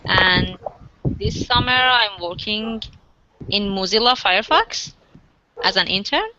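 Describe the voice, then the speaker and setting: A woman's voice: medium pitch, animated, slow pace. A woman from Iran, a graduate student, speaking briefly and politely in an online meeting. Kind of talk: casual online meeting chat. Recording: muffled, noisy online call.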